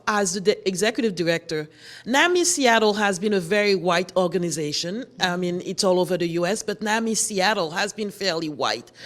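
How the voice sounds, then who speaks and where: Lively-voiced Haitian-born woman. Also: medium pitch. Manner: earnest, explanatory, with frequent restarts. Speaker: guest, nonprofit executive director. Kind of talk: morning show interview. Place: TV studio.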